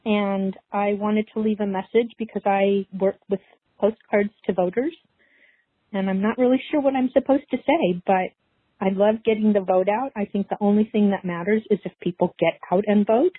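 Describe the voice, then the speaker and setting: Husky woman on a tinny phone. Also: mid-range voice, steady tone, moderate pace, no room sound. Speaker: podcast listener leaving a voicemail. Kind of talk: casual, earnest voicemail message. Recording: dry, tinny phone line.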